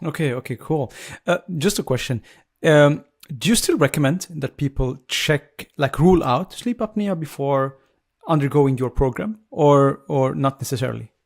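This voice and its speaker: A man's voice: on the high side, animated, moderate pace, vocal fry. A man hosting a podcast, giving prepared introductions and asking interview questions in a formal, courteous manner. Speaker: podcast host.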